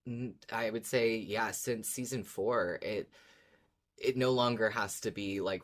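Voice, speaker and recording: Agreeable podcast co-host in casual chat. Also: low-pitched. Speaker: podcast co-host discussing a horror audio drama. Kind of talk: casual fan discussion.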